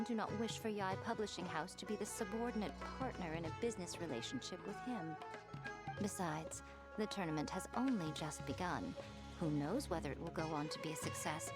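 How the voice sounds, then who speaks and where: A woman's voice: medium pitch, lightly acted. A woman voicing a poised, shrewd, teasing lady in a video game, speaking formally and with composure. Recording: loud game music behind.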